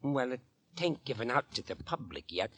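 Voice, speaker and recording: An actor playing a chatty, earnest young working-class London policeman in a 1940s radio drama, with a folksy, deliberate way of telling things. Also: mid-range voice, very animated, a bit bright, slightly rough. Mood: confiding. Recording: vintage 1940s radio recording.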